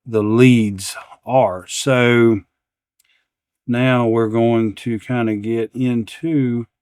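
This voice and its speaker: A man's voice: mid-range voice, a little mellow, clear, resonant tone, no echo, clean studio sound. A man hosting a repair demonstration, talking casually and unscripted, partly to himself as he works at a bench. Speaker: repair channel host. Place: indoors at an electronics workbench.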